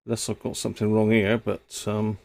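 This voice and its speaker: A man's voice: on the low side, completely flat delivery, brisk, creaky, a little mellow. A man hosting a live stream, muttering to himself as he repairs an old radio. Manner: muttering to himself while tinkering. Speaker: radio hobbyist host.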